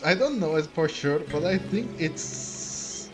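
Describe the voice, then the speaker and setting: A man doing casual gameplay commentary, thinking aloud in a halting way and sometimes reading game text. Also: fairly high pitch, animated, low-quality recording. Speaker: game streamer. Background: game music.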